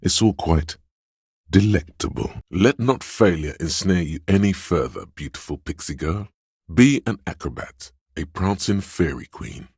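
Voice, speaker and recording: A man's voice: expressive, measured pace, a little mellow, no echo, studio quality, heavily acted. A man playing a smug, goading inner voice in a video game, suave and theatrical, savoring his words with dramatic emphasis. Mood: conspiratorial, delighted, then encouraging, flamboyant. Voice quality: very deep, husky.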